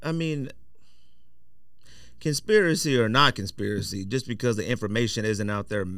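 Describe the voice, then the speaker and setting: A man's voice: lowish voice, normal speed, fairly bright tone, dry recording. Man in insistent podcast debate, dry sound.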